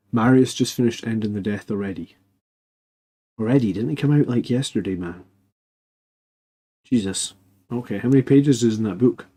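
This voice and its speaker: Guy hosting a live stream, voice nearly gone so he talks soft, lots of ums and restarts. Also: mid-range voice. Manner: rambling, with many fillers and restarts. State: losing his voice, speaking quietly. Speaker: host of a live army list show. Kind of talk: casual live stream chat.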